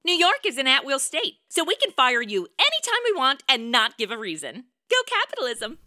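A woman's voice: sits high, huge pitch swings, fairly quick, pushed and bright, heavily acted. An actress playing a sarcastic, wisecracking career woman in a comedic radio play, blunt and irreverent. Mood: dry, blunt.

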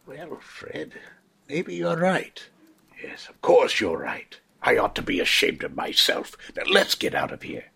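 Old santa type, gentle and jolly, raspy low voice that moves around a lot, radio play. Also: male voice, wildly swooping pitch, measured pace, exaggerated voice. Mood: contrite. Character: gentle, jolly elderly man who is Santa Claus.

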